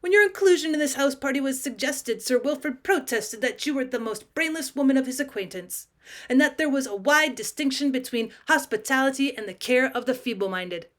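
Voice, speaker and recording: A woman's voice: high-pitched, animated, a little fast, a bit bright, voice pushed up. Woman voicing a haughty, coldly sardonic talking tomcat. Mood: cool disdain. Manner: haughty, unruffled, cutting. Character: coldly sardonic talking male house cat. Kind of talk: audiobook character voice, British classic short story.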